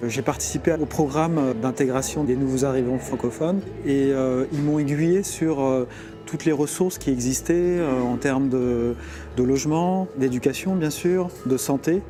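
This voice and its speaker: A man speaks in a composed, mid-range voice with frequent hesitations, describing his family's new life. Music plays clearly under him. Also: medium pitch, normal speed. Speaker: immigrant father. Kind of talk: testimonial interview.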